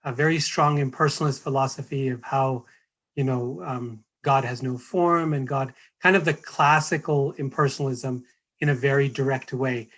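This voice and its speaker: A hoarse middle-aged American man giving a spiritual talk, hesitant, with many fillers and restarts. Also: mid-range voice, fairly even intonation, normal speed, fairly bright tone. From United States. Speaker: guest speaker.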